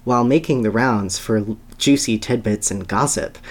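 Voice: mid-range voice, normal speed. A podcast host talking casually and reading a story aloud as a dramatic reading.

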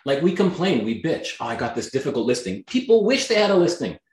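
A man from Canada whose first language is Greek, talking as a guest in an energetic, emphatic and blunt way, swearing freely. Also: a little high, fast, roomy sound. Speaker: guest, real estate agent. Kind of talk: motivational interview talk.

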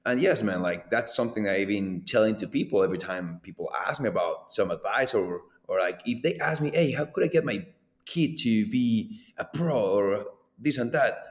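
A man's voice: on the low side, moderate pace. A man in his thirties from Colombia, speaking English with a Colombian accent as a second language, chatting animatedly as a former pro racer in an interview. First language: Spanish. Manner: animated, laughs often, loose filler-heavy delivery. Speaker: former pro downhill mountain bike racer, guest. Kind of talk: casual conversational interview. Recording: roomy echo.

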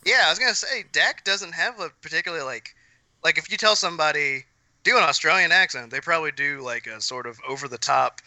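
Very bright, forceful man chatting casually. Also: fairly high pitch, a little fast, no echo. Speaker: podcast host. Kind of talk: casual chat.

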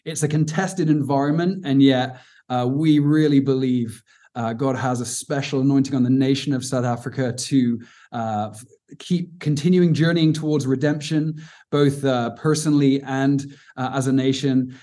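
A man's voice: medium pitch, steady tone, moderate pace, dark tone. A man from Cornwall with an English accent, giving an earnest, informal teaching talk on prayer as a guest speaker, with frequent fillers and restarts. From Cornwall, southwest England.

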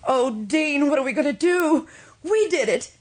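A woman's voice: sits high, animated, measured pace. A woman playing a guilt-stricken, distraught woman in an old-time radio drama, earnest and melodramatic. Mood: anguished, insistent.

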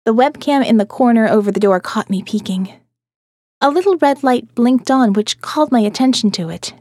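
A woman's voice: on the high side, expressive, normal speed, darkish tone, dry recording, studio quality. A woman narrating first-person audio fiction in a wry, sardonic manner.

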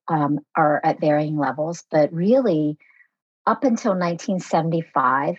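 A woman's voice: deep voice, darkish tone, no echo, highs cut off. A woman in her forties who grew up in America after leaving Vietnam as a small child, speaking thoughtfully as a podcast guest with frequent fillers. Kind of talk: conversational interview.

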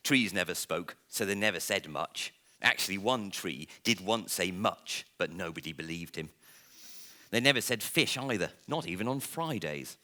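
A man reading a comic children's story aloud to listeners in a theatrical, playful way, with dramatic pauses. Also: deep voice, animated, pushed and bright. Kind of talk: expressive live storybook reading.

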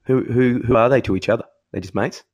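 A man co-hosting a podcast, asking inquisitive questions in a halting, casual conversational way. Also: medium pitch, darkish tone, breathy edge, dull audio. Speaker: podcast co-host. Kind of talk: casual interviewing chat.

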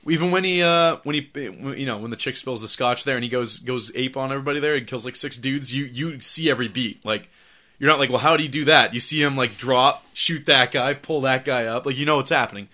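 Hoarse, fast-talking man. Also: mid-range voice, sharp tone. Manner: loose, profane, rambling with many fillers. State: hoarse, recovering from laryngitis. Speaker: podcast host. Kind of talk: casual podcast chat.

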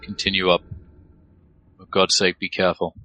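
An actor playing a commanding, level-headed mercenary team leader with a terse, authoritative delivery in an audio drama. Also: male voice, sits low, completely flat delivery, normal speed, bright, forceful tone, muffled recording, a little hiss or hum. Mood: measured.

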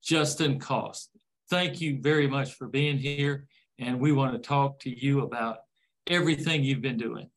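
An older man hosts a casual interview in a folksy, rambling way. His voice sits at an ordinary middle pitch, and he wanders through his questions with easy asides. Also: measured pace. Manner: folksy, rambling, jokes about parenting. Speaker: interview host.

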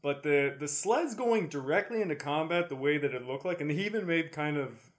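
A man's voice: on the high side, animated, a little fast. A Canadian man in casual podcast conversation, explaining things step by step through examples. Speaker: podcast co-host.

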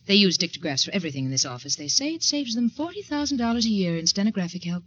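An actress playing a cool, formal, faintly sinister secretary in 1940s radio style. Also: female voice, medium pitch, big pitch swings, fast talker, fairly bright tone. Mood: pointedly calm. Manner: stiffly formal, pointed. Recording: noisy, dull 1940s radio recording.

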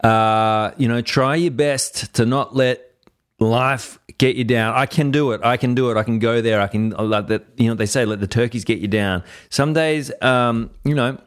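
A man's voice: medium pitch, a little slow, smooth. A man hosting a podcast, talking in a laid-back, rambling way and swearing casually. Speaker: podcast host.

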